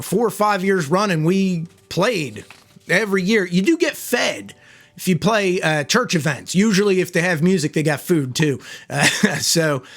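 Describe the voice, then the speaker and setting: A hoarse man giving a relaxed, chatty banjo lesson, with banjo playing under his voice. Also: sits high. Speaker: banjo teacher. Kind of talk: casual video tutorial. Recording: loud banjo over the voice.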